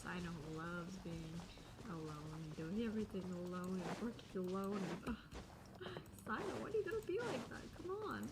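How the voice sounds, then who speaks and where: A young woman reacts casually to a game as she streams. Her voice is mid-pitched and her talk is slangy and playful. Loud game audio runs behind her. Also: very noisy. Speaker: gaming streamer. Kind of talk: casual gameplay reaction commentary. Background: game sound.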